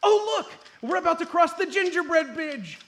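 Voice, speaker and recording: Man acting a boyish sidekick, very high bright voice, naive, earnest, comedic radio play, light background noise. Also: expressive, normal speed. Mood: excited. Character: naive, fretful, boyish adventurer sidekick, eager to please. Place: comedy theatre stage, live show. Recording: noisy live stage recording.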